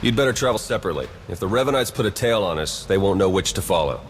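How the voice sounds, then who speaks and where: A man's voice: low voice, lively intonation, rapid-fire, slight background noise. A man playing a wry, pragmatic spy agent in a video game, casual and matter-of-fact. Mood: brisk, businesslike. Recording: loud game music underneath. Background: music.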